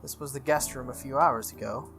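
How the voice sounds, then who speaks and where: A man hosting a game playthrough, reading a visual novel aloud in an animated way with casual asides. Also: medium pitch, brisk. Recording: music playing behind the voice. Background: game music.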